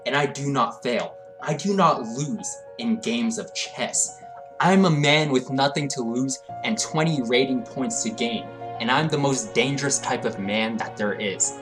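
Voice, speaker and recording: A young man narrating a comedic storytime, swinging between hyped rants and low deadpan asides. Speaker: storytelling vlogger.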